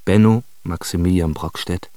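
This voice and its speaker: A man's voice: low-pitched, moderate pace, dark tone, dry recording. A man reading cast credits as a scripted radio announcement.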